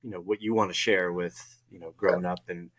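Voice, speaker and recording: A man hosting an interview, asking a long, halting question with many fillers. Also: deep voice, fairly even intonation, slightly rough. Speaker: podcast host.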